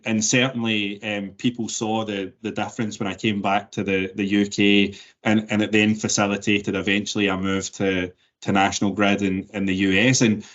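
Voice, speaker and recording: A man in his forties with a softened Glaswegian Scottish accent, answering interview questions thoughtfully with many restarts and fillers. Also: fairly low pitch, muffled recording. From Scotland. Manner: thoughtful, frequent word repeats and fillers. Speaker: interview guest.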